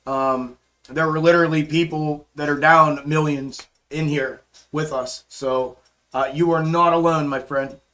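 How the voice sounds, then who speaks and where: Hoarse male livestream host, easygoing, slightly high voice, casual market chatter. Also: fairly even intonation, unhurried, a bit bright. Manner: laid-back, calls viewers bro and man. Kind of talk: casual live chart commentary and chat replies.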